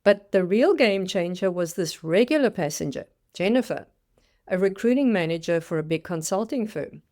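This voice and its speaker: A woman's voice: mid-range voice, expressive, normal speed, darkish tone. A woman in her sixties with a South African accent, telling her own career story in a scripted, dramatic monologue as a career coach. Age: 60s. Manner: dramatic storytelling with acted-out quotes.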